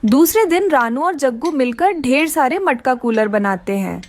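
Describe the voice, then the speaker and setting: A woman's voice: sits high, animated, normal speed. A woman narrating a scripted children's story.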